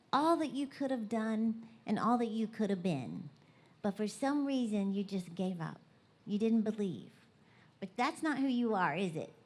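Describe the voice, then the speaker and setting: A woman with a Southern US accent giving a bubbly, folksy, conversational motivational talk to a live church gathering. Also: a little high. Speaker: guest motivational preacher. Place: large indoor church event.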